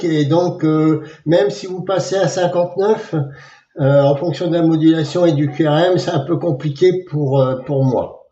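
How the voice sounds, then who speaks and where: A man working as an amateur radio operator, speaking casually and spelling out call signs in a measured way. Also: on the high side, mellow, reverberant, dull audio. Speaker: amateur radio operator filming for his channel.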